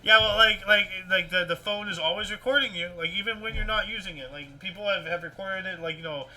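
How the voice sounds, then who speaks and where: A man with a high-pitched, strikingly bright and forceful voice hosts a casual news stream. He talks in an animated, rambling way, circling back over his phrases as he comments. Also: fairly quick, rough recording. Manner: animated, repeats himself, rambling. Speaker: host of a livestream news show. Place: indoors at a computer with webcam.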